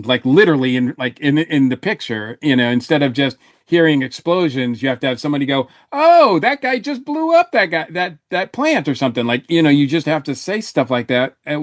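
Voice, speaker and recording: A man's voice: medium pitch, no echo, clean studio sound. A man giving his take in a casual podcast review discussion, often restarting his phrases as he goes. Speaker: podcast panelist.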